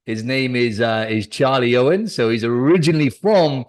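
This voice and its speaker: A podcast host from South Wales talks in a loose, casual register, calling his guest "bro" and "man" as he chats. His voice sits in a middling pitch for a man. It sounds like a remote conversation over a call. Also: moderate pace. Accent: South Welsh. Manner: informal, peppers speech with "bro" and "man". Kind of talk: casual interview chat.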